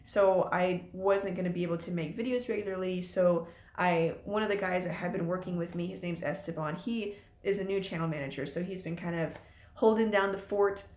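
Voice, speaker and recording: A woman's voice: lowish voice, steady tone, brisk, darkish tone. A woman in her late twenties hosting her own channel, chatting loosely and rambling with lots of ums in a live stream from a room at home. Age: late 20s. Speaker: channel host. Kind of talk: casual live-stream chat. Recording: echoey room.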